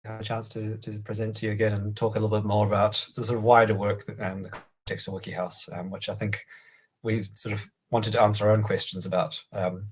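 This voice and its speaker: Man with a cold, deep and husky, monotone, halting presenter on a video call. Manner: hesitant, many ums and restarts. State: has a cold, croaky. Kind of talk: online presentation.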